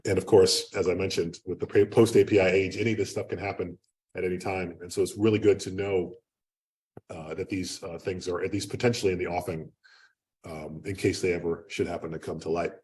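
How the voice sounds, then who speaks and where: A man giving an unscripted academic lecture in a loud, projecting voice, talking conversationally with lots of fillers and restarts. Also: deep voice, steady tone, fairly quick. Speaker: guest lecturer. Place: lecture room. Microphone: speaking away from the microphone. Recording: distant room mic.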